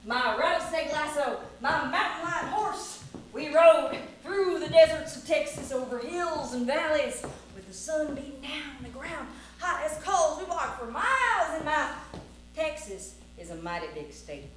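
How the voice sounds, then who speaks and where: A woman's voice: sits high, lively intonation, measured pace, pitched above the performer's own voice. A woman playing a plucky, boastful cowboy who spins a tall tale with folksy Western bluster, as live storytelling. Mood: adventurous. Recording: very echoey room, faint noise.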